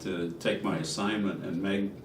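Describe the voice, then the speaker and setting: An older man with a New England accent and a very deep voice rambles through memories, pausing often on fillers. He sits far from the mic in an echoey room with clear background noise. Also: fairly even intonation. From Massachusetts. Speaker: interviewee. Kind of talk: casual conversational interview.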